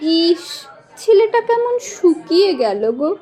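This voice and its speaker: A woman's voice: extremely high pitch, big pitch swings, slow pace, warm tone, heavily acted. A woman playing a jeering young college student in an audio story, teasing a classmate with mock pity. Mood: gleeful mockery. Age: young adult.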